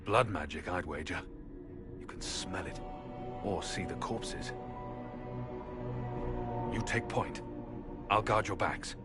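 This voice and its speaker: Deep, slow, grim fighter. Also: male voice, loud music behind the voice. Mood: grim, guarded. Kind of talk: video game acting.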